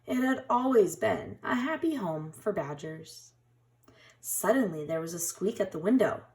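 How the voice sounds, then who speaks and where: A woman teacher reading a children's storybook aloud in an expressive, dramatic way. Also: medium pitch, measured pace. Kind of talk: scripted children's storybook narration.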